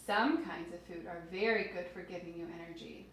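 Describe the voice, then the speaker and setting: A woman's voice: fairly low pitch, steady tone, a little fast, fairly bright tone. A woman reading aloud to young children as a teacher, expressively explaining things simply and putting questions to her listeners. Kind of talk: children's read-aloud lesson with asides. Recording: very echoey room with faint noise.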